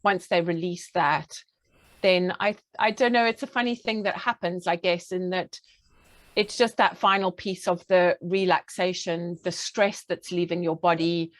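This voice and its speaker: A woman's voice: on the low side, steady tone, measured pace, no room sound. A woman from Zimbabwe with a southern African accent, speaking conversationally as an interview guest and health coach.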